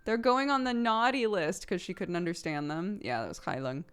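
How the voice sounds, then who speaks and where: A woman's voice: lowish voice, normal speed, a bit bright, clean tone. A woman in her thirties, a streamer chatting casually and rambling while playing a video game, laughing often.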